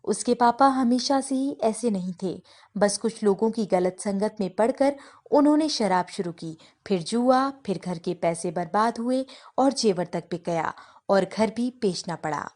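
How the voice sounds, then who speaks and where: A woman's voice: mid-range voice, lively intonation, a little slow, a little mellow. A woman reading scripted narration for a family story.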